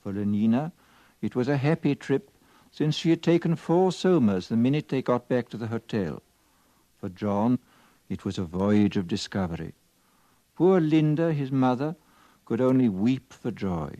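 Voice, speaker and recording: A man narrating a 1950s radio drama as a measured, solemn storyteller, on a vintage recording. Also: medium pitch, lively intonation, warm tone, no echo, close to an everyday voice.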